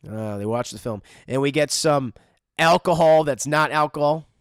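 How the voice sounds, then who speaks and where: A man's voice: fairly high pitch, moderate pace, clean tone, dry recording, clean studio sound. A man casually joking through a cartoon episode commentary, often mock-voicing the characters. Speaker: commentary host.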